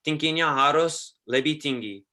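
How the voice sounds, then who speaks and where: A man's voice: fairly high pitch, unhurried, bright, forceful tone. A man, an Australian university student and native English speaker, asking a question in careful learner Indonesian. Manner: careful learner phrasing, some hesitation.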